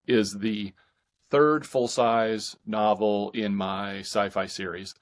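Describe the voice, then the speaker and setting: A man speaking as a guest author in a conversational interview, haltingly, thinking his answers through as he goes. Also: on the low side, steady tone.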